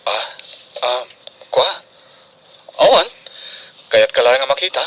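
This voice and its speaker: A man's voice: mid-range voice, expressive, slow, a bit bright, a little hiss or hum, poor audio. An actor with a northern Philippine accent playing a shy, lovestruck male student, hesitant and pleading, in a radio drama.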